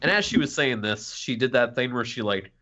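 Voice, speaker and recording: A man's voice: medium pitch, animated, fairly quick, sharp tone. A man co-hosting a podcast in casual conversation, on a slightly lo-fi voice track. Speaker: podcast co-host. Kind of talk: casual chat.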